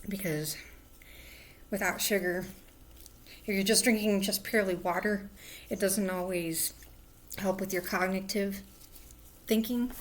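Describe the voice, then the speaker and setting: A woman with a hoarse voice, rambling casually as she hosts a crafting livestream from home, sometimes drifting into soft murmurs. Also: medium pitch, fairly even intonation, unhurried, long pauses, a little hiss or hum. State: hoarse, lost voice. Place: at home indoors.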